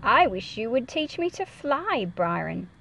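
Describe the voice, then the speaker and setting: A woman reading the part of a gentle, lonely old lady in a picture book, fond and reassuring. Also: a little high, very animated, measured pace, creaky, exaggerated voice, rough recording. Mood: wistful.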